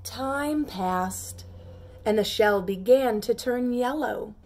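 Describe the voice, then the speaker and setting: A woman's voice: fairly high pitch, slow pace. Woman reading a children's story aloud. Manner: gentle, chatty asides to listeners. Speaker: storybook reader.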